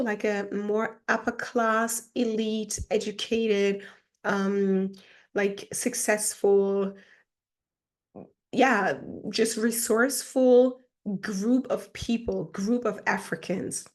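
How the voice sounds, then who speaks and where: Woman from Germany, casual vlog mentor, chatty and reflective, mid-height voice, heavy on "like". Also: mid-range voice. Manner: chatty, reflective, fills speech with "like". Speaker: personal mentor. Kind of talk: casual personal vlog monologue.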